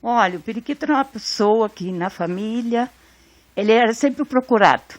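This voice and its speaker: Southern brazilian woman remembering her brother, calm, dry close sound. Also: fairly high pitch, brisk, no echo. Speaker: interviewee, sister. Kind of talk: interview recollection.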